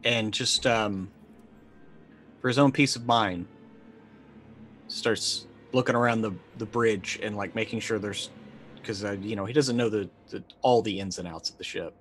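A man in his forties playing a tabletop roleplaying game, casually narrating his character's actions and thinking aloud haltingly. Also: on the low side, a bit bright, faint music behind. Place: indoor room, online video call.

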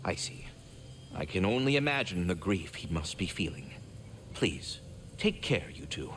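A man's voice: low voice, big pitch swings, unhurried, fairly bright tone, slight background noise, exaggerated voice. A man voicing a courteous, fretful, erudite magical squirrel in a video game, speaking in formal, wordy, theatrical phrases. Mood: sorrowful, sympathetic. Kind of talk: video game character acting.

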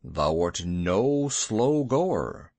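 A proud panther speaks in a lowered, grave voice with old-fashioned formality, slow and deliberate, as a man reads him in a storybook. The sound is close and dry. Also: very animated, darkish tone, no echo, heavily acted.

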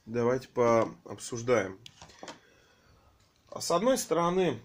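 A man with a slightly hoarse voice from a cold, filming his aquariums at home on a handheld phone and talking in a rambling, hesitant way full of fillers. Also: medium pitch, sing-song, slow. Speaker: aquarium hobby vlogger. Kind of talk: casual home vlog, off-camera narration.

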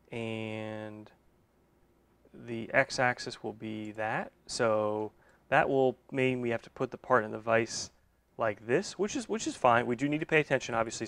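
A man's voice: mid-range voice, vocal fry, a bit bright. Man thinking aloud through a shop job. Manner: thinks aloud while working, with self-corrections. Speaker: machine shop owner and video host. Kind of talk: casual instructional walkthrough. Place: machine shop, indoors.

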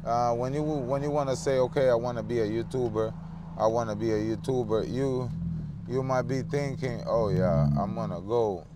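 A man in his thirties talking casually to the camera while he drives, musing slowly with lots of fillers. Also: medium pitch. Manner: relaxed, slow, drawn-out musing with many fillers. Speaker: dance show host. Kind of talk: casual driving vlog talk. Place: inside a car on a city street. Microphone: phone camera on the dashboard. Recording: road and engine noise.

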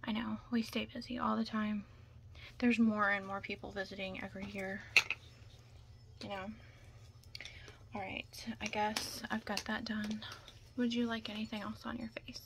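A woman's voice: mid-range voice, level tone, normal speed, creaky, long pauses. Whispering young woman, noisy ASMR recording. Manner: casual, chatty, reassuring makeup artist patter. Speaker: ASMR roleplay performer. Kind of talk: ASMR roleplay, whispering and soft talk.

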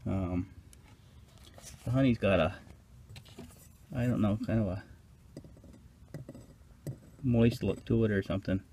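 A man's voice: fairly low pitch, slow pace, pauses often, mellow, slight background noise. A man casually narrating as he inspects his beehives outdoors, hesitant and unsure, thinking aloud. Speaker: beekeeper.

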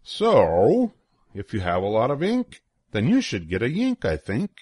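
A man's voice: medium pitch, huge pitch swings, a little slow, darkish tone, slightly rough, no room sound, clean studio sound. An older man reading a children's rhyming picture book aloud in a playful, sing-song way with swooping emphasis. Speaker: storybook reader.

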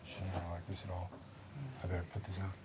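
A man playing a gruff, menacing middle-aged backwoods outlaw in an ASMR roleplay drama, terse, wry and muttering. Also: low-pitched, monotone, very fast, very noisy, close to an everyday voice. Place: dim indoor room, seen at a bar counter. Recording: roomy indoor sound.

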